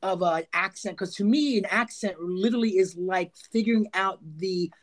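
Playful man co-hosting. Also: high voice. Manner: playful, quick to laugh. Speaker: host. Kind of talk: casual chat.

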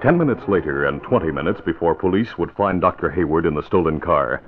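A man's voice: low-pitched, expressive, brisk. A man delivering formal, dramatic scripted narration for an old-time radio drama. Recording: narrow vintage radio recording with music. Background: music.

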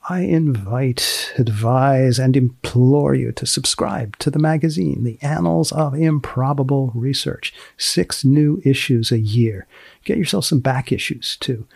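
A man narrates as a podcast host in a measured, deadpan style. His voice is gravelly and rough around the edges, with a very dry, close sound. The phrasing is deliberately formal. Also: mid-range voice, no room sound.

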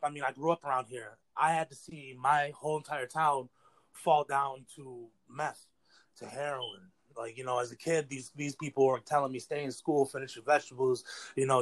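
Young american guy, like 18, rambling earnestly in slang on an interview, normal pitch. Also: a bit bright, low-quality recording. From New York State, United States. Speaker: interview guest, battle rapper. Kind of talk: casual slangy interview talk.

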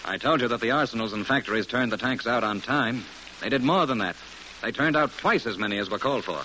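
A man's voice: medium pitch, lively intonation, fast, pushed and bright. A man playing a grave military officer in a classic radio drama, giving a briefing in a clipped, emphatic, solemn way. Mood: urgent, firm. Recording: faintly noisy old radio recording.

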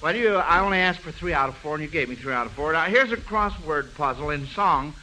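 A man's voice: fairly high pitch, animated, a little fast, bright, forceful tone, low-quality recording. A man hosting a 1940s radio quiz for children, conversational, folksy and avuncular. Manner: folksy, chuckling, repeats answers, avuncular toward children. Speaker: radio quiz show host. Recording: vintage radio broadcast, faint noise.

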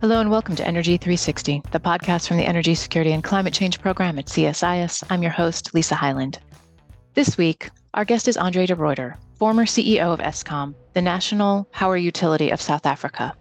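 A woman hosting a podcast, reading a scripted introduction in a brisk, smooth way. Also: lowish voice, faint music behind. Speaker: podcast host.

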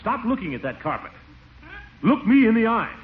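Pompous, blustering American uncle, hugely swooping delivery, vintage radio comedy, dull hissy recording. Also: male voice, high voice, sing-song, normal speed. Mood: stern. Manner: pompous, flustered, chuckling bluster. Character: pompous, blustering middle-aged uncle, easily exasperated. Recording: muffled, hissy old radio broadcast.

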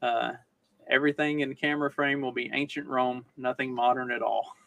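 A man's voice: mid-range voice, fairly even intonation, normal speed, creaky, fairly bright tone, no room sound. A man co-hosting a podcast, talking through the news casually and wryly, often repeating phrases for emphasis. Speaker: podcast co-host.

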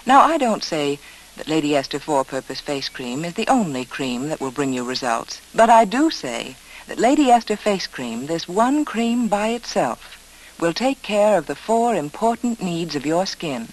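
A woman's voice: fairly low pitch, very animated, moderate pace, rough recording. A woman hostess reading a scripted 1940s style radio advertisement, addressing the listener directly and persuasively.

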